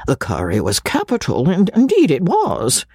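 Woman acting a pompous, blustering, bashful young gentleman. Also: low-pitched, big pitch swings, unhurried, creaky, husky, no room sound, studio quality, pitched above the performer's own voice, heavily acted. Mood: jovial bluster. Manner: stammering, exclamatory bluster.

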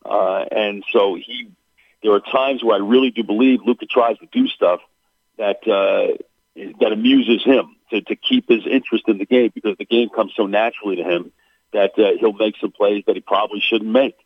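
Male sports announcer guest, deep voice, blunt and opinionated, heard over a dry phone line. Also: fairly even intonation, normal speed, breathy edge, no echo. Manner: blunt, opinionated, frequent "uh" and "you know". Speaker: basketball play-by-play announcer guest. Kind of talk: radio sports interview, analytical.

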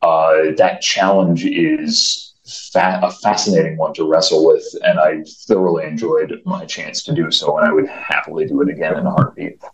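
A man with a deep, low voice gives considered interview answers. His phrasing is articulate and measured, and the room echoes clearly around him on the call. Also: little pitch movement. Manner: articulate, measured, formal wording. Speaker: actor being interviewed. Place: remote video call. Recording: roomy, echoing video-call audio.

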